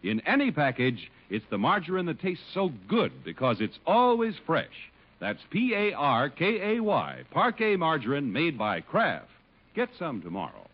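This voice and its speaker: A man's voice: on the high side, huge pitch swings, normal speed, breathy edge. A man announcing a vintage 1950s radio show in scripted, old-time style. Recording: dull old radio broadcast.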